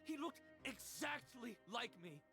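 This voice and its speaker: A man's voice: very high voice, sing-song, measured pace, pushed and bright, soft background music, exaggerated voice. An actor playing a frightened male prisoner in a video game, pleading and agitated as he insists he is sane.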